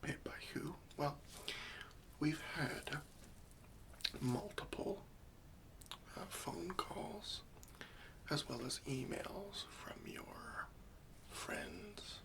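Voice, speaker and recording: A man acts out a gentle professional cleanup worker for an ASMR roleplay. His breathy whisper is crisp and bright, with a very gravelly crackle underneath. He speaks hesitantly and politely, soothing the listener with patient, faintly wry tact. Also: fairly high pitch, huge pitch swings, extremely slow, pauses often, full character voice. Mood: tactful, reassuring.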